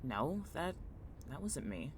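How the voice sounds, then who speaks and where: A man's voice: a little high, big pitch swings, slow pace, a little hiss or hum. A man playing a guarded, irritable but protective young student in an audio drama, speaking in a blunt, dry, terse way. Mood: wary, terse.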